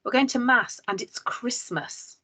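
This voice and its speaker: A woman's voice: a little high, a little slow, pushed and bright, voice pushed up, poor audio. A woman doing a bossy old Irish granny. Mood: scolding. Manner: scolding, bossy, fretful. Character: fussy older Irish grandmother, gentle but firm.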